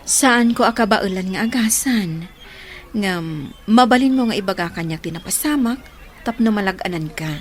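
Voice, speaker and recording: A woman's voice: mid-range voice, animated, moderate pace, natural voice. A woman with an Ilocano accent playing a frank, lovelorn single woman in her thirties in a radio drama. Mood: gently concerned. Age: 30s.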